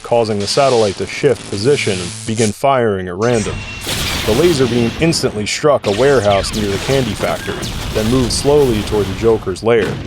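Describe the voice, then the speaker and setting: A man narrating an audio drama in a scripted storytelling style. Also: fairly low pitch.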